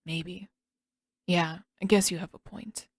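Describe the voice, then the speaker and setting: A woman's voice: fairly low pitch, fairly even intonation, slow pace, husky, dry recording, exaggerated voice. A woman acts out a teenage boy prince in an audiobook story. He is reserved and unsure of himself, speaking in a subdued, hesitant way. The recording is very dry. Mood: self-doubting. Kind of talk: audiobook character dialogue.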